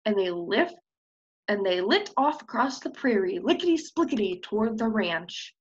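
A woman's voice: mid-range voice, very animated, normal speed, slightly rough. A young woman teacher reading a children's storybook aloud expressively and prompting with questions, recorded on a computer microphone. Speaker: preschool teacher. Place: indoors on a screen-share video call. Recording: slightly dull webcam audio.

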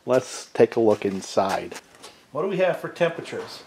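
A middle-aged man casually explaining repair steps at his workbench, in a relaxed, folksy way while handling tools. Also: mid-range voice, normal speed. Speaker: small engine repair channel host. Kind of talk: casual workshop demonstration. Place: cluttered home workshop at a workbench. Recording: echoey workshop with loud tool noise.

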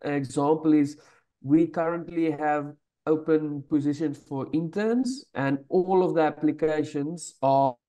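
A man's voice: fairly high pitch, unhurried, warm tone, low-quality recording. A man whose first language is Afrikaans, speaking as an alumnus on a video-call panel in a matter-of-fact way. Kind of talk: answering questions on a webinar panel.

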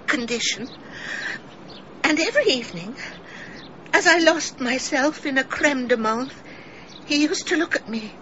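Radio play: middle-aged English villager, genteel, melodramatically confessional, high-voiced, piercingly bright. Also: female voice, dull audio, a little hiss or hum. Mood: wistful confession. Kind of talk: British radio drama acting.

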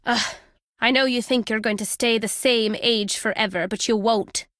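A woman's voice: a little high, steady tone, moderate pace, a bit bright, dry recording, natural voice. An actress playing a wry, guarded older woman with a British accent, a former spy in a video game, dry and fond in manner. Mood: wry, reminiscing. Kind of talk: video game character dialogue.

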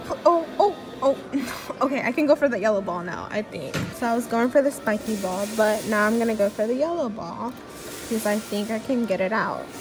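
A young woman vlogging casually in a large indoor mall, chatty and pleading excitedly at a claw machine, recorded on a handheld phone. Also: fairly high pitch. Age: young adult. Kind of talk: casual vlog commentary. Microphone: phone camera at arm's length.

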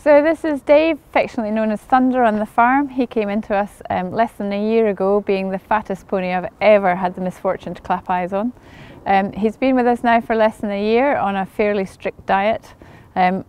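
A woman vet in her forties explaining on camera in a stable yard, measured and matter-of-fact with frequent ums, on a lapel mic. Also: mid-range voice, a little mellow, clear, resonant tone. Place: outdoor stable yard by a brick wall.

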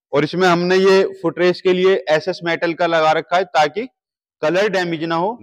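A man in his thirties with a fairly high voice walks through a large indoor warehouse, explaining each gym machine in a steady sales manner. He is recorded on a lapel mic as he points out the features. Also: rough recording. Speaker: gym equipment seller. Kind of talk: product walkthrough.

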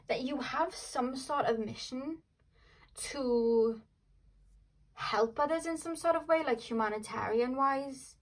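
A woman's voice: high-pitched, rough recording. A young woman with a Welsh accent giving a casual tarot reading, chatting in a rambling way full of fillers and "like". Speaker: tarot reader.

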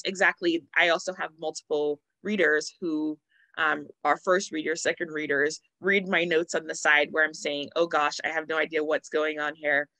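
Woman translator, bright crisp voice, earnest anecdotes with ums, dry video-call sound. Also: deep voice, no echo, low-quality recording. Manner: earnest, animated storytelling with ums. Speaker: literary translator and panelist. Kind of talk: online panel discussion. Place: indoor room. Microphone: computer video call.

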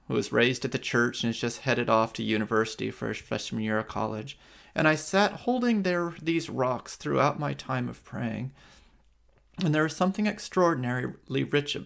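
Gravelly-voiced American priest, measured. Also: male voice, mid-range voice, a bit bright. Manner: slow, measured liturgical reading. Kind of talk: reflective homily and night prayer. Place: living room at home, indoors at night. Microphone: webcam on a video stream.

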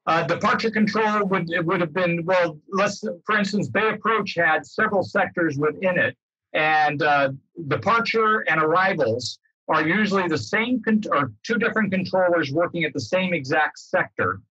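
A man's voice: high voice, moderate pace, bright, forceful tone, husky. An older American man giving an informal explanation over a video call, rephrasing himself as he goes. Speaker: guest speaker, former air traffic controller. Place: indoors, book-lined home room. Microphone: computer mic on video call. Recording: dull, roomy, lo-fi video-call sound.

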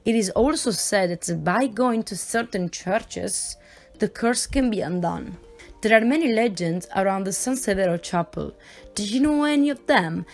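A woman's voice: medium pitch, lively intonation, moderate pace, a little mellow, faint music behind. Woman narrating a museum tour video. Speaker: voice-over narrator. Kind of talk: scripted narration.

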